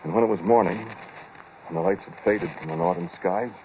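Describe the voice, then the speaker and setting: A brooding, deep-voiced American man played by an actor tells of his own invention in a deliberate, haunted way. It is 1940s radio drama, muffled and buried in loud hiss and noise. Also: lightly acted. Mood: brooding, uneasy. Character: shaken, brooding male inventor recounting his time-machine experiment.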